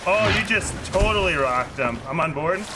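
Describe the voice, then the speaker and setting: A man chatting excitably and playfully with crewmates as he plays a game. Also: fairly high pitch, a little fast, heavy background noise. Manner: exclamatory, playful. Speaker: gaming streamer, crew captain. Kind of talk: excitable gameplay chat with crewmates. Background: game sound effects and ambience.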